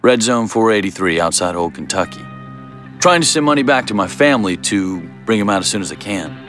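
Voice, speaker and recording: A man's voice: fairly low pitch, lively intonation, brisk, a bit bright. A man playing a weary farmer and ex-militiaman, narrating his story in a grave, plain-spoken way. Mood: earnest. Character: weary rural farmer and ex-militiaman, earnest drifter seeking work. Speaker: narrator and lead character. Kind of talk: dramatic scripted first-person narration. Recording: music bed under the voice. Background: prominent dramatic music.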